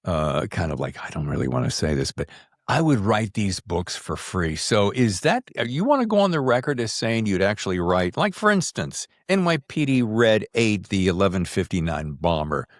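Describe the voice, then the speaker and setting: A man's voice: fairly low pitch, very animated, normal speed, dry recording, clean studio sound. A man hosting a podcast in a casual, chatty, jokey and informal way. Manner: jokey, informal, mildly profane. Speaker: podcast host. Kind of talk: casual, chatty show introduction and interview. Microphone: standalone microphone.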